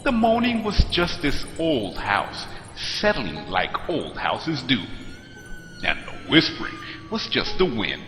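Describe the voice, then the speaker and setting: Man playing a warm father, very animated, echoing. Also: mid-range voice, slow, faint music behind, voice pushed up, exaggerated voice. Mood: reassuring. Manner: soothing, expressive. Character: warm, reassuring father of a young girl.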